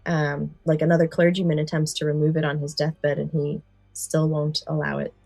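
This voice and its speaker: A woman's voice: sits low, little pitch movement, normal speed, creaky, darkish tone, dry recording, faint music behind. A woman co-hosting a podcast in casual chat, recounting favourite stories and often correcting herself. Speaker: podcast co-host.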